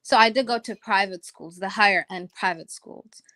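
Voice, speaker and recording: A woman's voice: medium pitch, normal speed, bright, forceful tone. A young Kenyan woman calling in to a chat show, talking casually with many ums and emphatic asides. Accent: Kenyan, sounding American to listeners. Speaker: caller.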